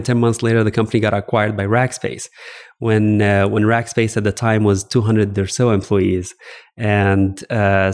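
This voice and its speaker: A middle-aged man from Egypt, a startup founder telling his career story in a relaxed interview, often laughing and saying "uh". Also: fairly low pitch. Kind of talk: casual interview talk. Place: office coworking space.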